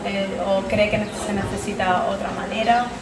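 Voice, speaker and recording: Woman interviewer, even monotone questions, distant echoey room, crowd noise. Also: medium pitch, moderate pace. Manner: reads prepared questions. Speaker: interviewer, student.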